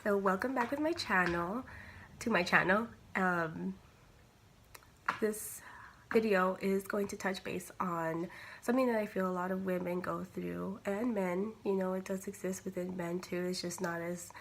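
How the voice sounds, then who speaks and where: A young woman with a slightly raspy voice from a cold, talking candidly and casually in a personal vlog. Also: mid-range voice, steady tone, unhurried, a bit bright. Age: young adult. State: recovering from a cold. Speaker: vlogger. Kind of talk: casual personal talk to camera. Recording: clear background noise, homemade vlog.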